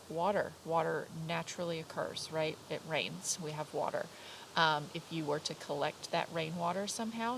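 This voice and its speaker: A woman's voice: deep voice, creaky, noisy background. Stuffy-nosed woman teaching outdoors. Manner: warm, encouraging, with many fillers; sings simple teaching songs. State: stuffy nose, blocked ears. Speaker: kindergarten teacher. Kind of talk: remote lesson to young children. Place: outdoors in a garden by an orange tree. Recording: outdoor recording, crickets and insects behind.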